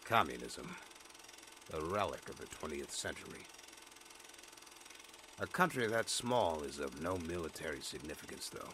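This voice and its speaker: A man's voice: very deep voice, very animated, normal speed, pauses often, sharp tone, a little hiss or hum, full character voice. A man playing an impatient, dismissive senior government official in a scripted audio drama, with a curt, pompous manner.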